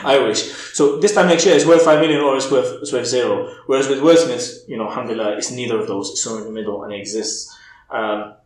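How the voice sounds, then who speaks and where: A man's voice: mid-range voice, fast. A man from England, a podcast guest thinking aloud candidly, often restarting his sentences. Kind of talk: casual interview chat. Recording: roomy echo.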